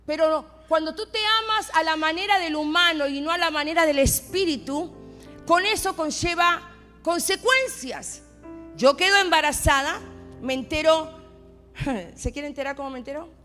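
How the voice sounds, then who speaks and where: A woman's voice: high-pitched, moderate pace, sharp tone. A woman in her forties from Argentina with an Argentine accent, preaching a personal testimony as a pastor's wife in church. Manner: personal storytelling, rising to emphatic declarations. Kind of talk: sermon testimony. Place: church sanctuary. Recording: long hall reverb with faint music.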